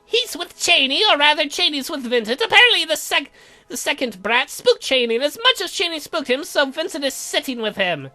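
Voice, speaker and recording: An eccentric uncle and potion brewer as a woman plays him, bright and high in voice. He is flippant and teasing, swinging up and down in pitch through a visual-novel scene, with faint music behind. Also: big pitch swings, a little fast, full character voice. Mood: cheeky, animated. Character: eccentric, cheeky uncle who brews potions.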